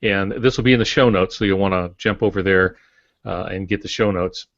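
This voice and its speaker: Deep-voiced man calmly explaining. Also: fairly quick, dry recording, dull audio. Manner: calm and explanatory, with many "uh"s. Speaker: writing teacher and podcast regular. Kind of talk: casual podcast chat.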